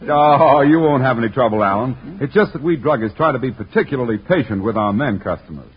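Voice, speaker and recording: Friendly druggist pitching on 1940s radio. Explanatory, rough husky voice, muffled vintage sound. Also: male voice, lively intonation, fairly quick. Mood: earnest, reassuring. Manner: earnest, explanatory. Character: friendly, earnest drugstore druggist, patient sales pitch. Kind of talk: 1940s radio comedy and advertising. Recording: dull 1940s radio broadcast.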